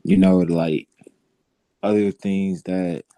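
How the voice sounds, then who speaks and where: A man's voice: deep voice, level tone, takes it slowly, mellow, no echo. A young man on a video call, hesitant and full of fillers. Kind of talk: casual video call chat.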